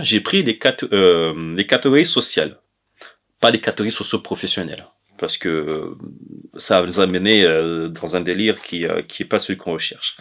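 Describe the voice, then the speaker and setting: Low-voiced, halting man explaining. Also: a bit bright. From Comoros. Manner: hesitant, halting, often correcting himself. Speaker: presenter of an educational chronicle on language. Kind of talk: informal explanatory lecture.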